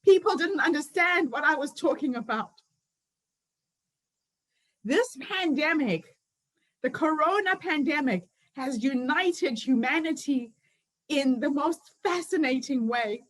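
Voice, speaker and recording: A woman in her fifties speaks in a notably high voice. She delivers an earnest motivational talk as a summit founder, weaving personal stories through it. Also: expressive, takes it slowly. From Zimbabwe. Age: 50s. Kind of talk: motivational speech.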